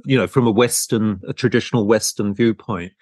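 Low-voiced man hosting an interview podcast. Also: fairly quick, a little mellow, no room sound, studio quality. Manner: rambling, discursive, hesitant rephrasing. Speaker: podcast host and art business lecturer. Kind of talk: conversational interview.

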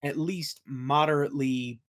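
A man's voice: fairly high pitch, steady tone, measured pace. A man chatting casually as an interview guest, telling colloquial, rambling stories as a game master. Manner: rambling, colloquial, acts out quoted remarks. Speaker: guest, game master and audio play creator. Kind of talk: casual chat, anecdotes.

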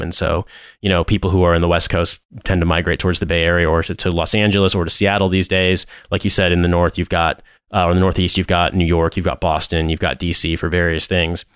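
A man's voice: deep voice, level tone, quick pace, fairly bright tone, clear, resonant tone, no room sound, clean studio sound. A man from the American Midwest with a general American accent, co-hosting a podcast in casual, rambling chat full of restarts. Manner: rambling, restarts often, says "like" a lot. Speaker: podcast co-host.

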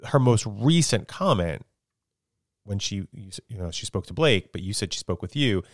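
A man hosts a casual podcast chat, his voice sitting on the low side. He asks probing, questioning follow-ups. The sound is dry and close. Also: lively intonation, normal speed, dry recording. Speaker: podcast host.

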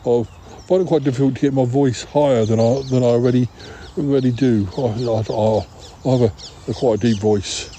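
A man with a very soft, dark tone and mid-range pitch plays out comic dialogue. He dithers and repeats himself. There is faint background noise around him. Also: steady tone, brisk, hardly any pauses. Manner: hesitant, dithering, repeats words. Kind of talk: improvised comic audio-drama dialogue in character.

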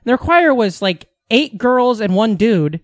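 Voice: medium pitch, sing-song, slow pace, dry recording, clean studio sound. A podcast host chatting casually, joking and teasing the guest and swearing freely.

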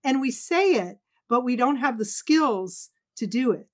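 Middle-aged woman guest, slightly high voice, emphatic and earnest. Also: expressive, measured pace, darkish tone. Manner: earnest, emphatic, acts out quotes. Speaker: guest speaker. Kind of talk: conversational interview.

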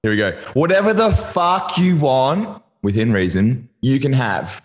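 An Australian man of thirty, a podcast guest speaking casually and earnestly, his voice a little croaky after singing the night before. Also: medium pitch, lively intonation, measured pace. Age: 30s. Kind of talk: casual interview chat.

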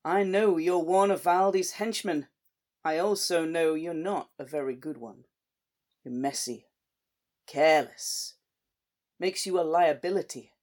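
A man voicing a big, calm middle-aged sheriff who speaks in a slow, measured drawl with quiet menace. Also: on the high side, natural voice.

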